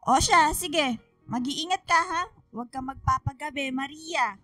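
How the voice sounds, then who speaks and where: A woman's voice: high-pitched, unhurried, pushed and bright, no echo, clean studio sound, faint music behind. A woman acting an emotional young mother in a radio drama, pleading and scolding. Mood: nagging, caring. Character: young pregnant girlfriend, later a nagging, caring mother.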